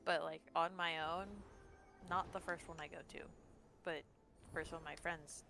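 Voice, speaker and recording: A low-voiced woman with a gravelly edge chats in a laid-back, blunt way while she plays. Game music runs clearly behind her talk, and she sounds at ease with her friend. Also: level tone, measured pace, bright, forceful tone. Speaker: co-player on stream. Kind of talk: casual chat.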